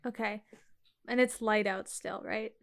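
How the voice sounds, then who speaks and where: A woman's voice: mid-range voice, steady tone, a little slow. A woman chatting casually as a player in a tabletop roleplaying game.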